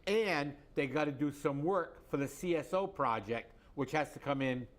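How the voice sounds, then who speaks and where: A man's voice: fairly high pitch, moderate pace. A man co-hosting a local political commentary show, recounting a public meeting in a plain, matter-of-fact way. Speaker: co-host.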